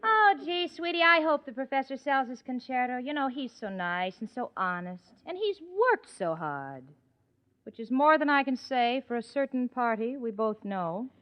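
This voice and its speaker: High, animated, wry young woman. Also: normal speed. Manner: expressive, wry. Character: warm, sensible, wry young working woman. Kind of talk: 1940s radio comedy acting. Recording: muffled noisy 1940s radio, studio audience.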